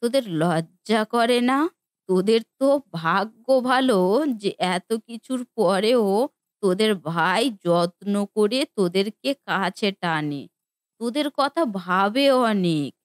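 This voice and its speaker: A woman's voice: mid-range voice, expressive, takes it slowly, no room sound. A woman playing a stern, reproachful elderly mother in a cartoon family drama, with an indignant, scolding manner.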